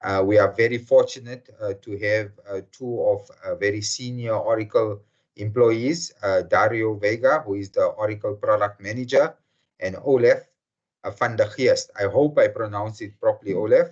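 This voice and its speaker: A man from South Africa hosting an online workshop session, speaking slowly with many fillers over a video call. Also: fairly low pitch. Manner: slow and hesitant, many fillers. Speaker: webinar host. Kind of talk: formal welcome to an online session. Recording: muffled video call audio.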